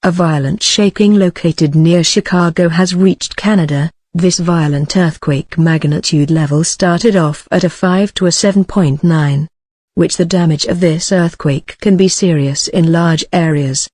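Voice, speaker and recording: Dry synthetic mid-pitched emergency announcer. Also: fairly even intonation, measured pace, darkish tone, no room sound. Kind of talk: scripted emergency broadcast announcement.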